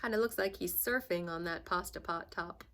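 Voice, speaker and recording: A woman's voice: fairly low pitch, moderate pace, a bit bright, a little hiss or hum. A woman reading a children's storybook aloud like a teacher, with dramatic emphasis and asides to young listeners.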